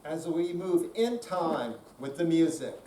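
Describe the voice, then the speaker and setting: An older man reads verse slowly, his fairly high voice moving through lively rises and falls. He is recorded from afar in an echoey room. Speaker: readers theater performer. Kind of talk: slow dramatic reading in live performance. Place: senior center room, mic at a distance. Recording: echoey room, distant, faint noise.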